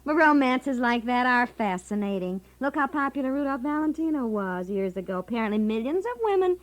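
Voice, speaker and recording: An actress playing a chatty, romantic American wife in 1950s radio comedy, conversational, teasing and emphatic. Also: female voice, a little high, lively intonation, fairly quick, natural voice, poor audio.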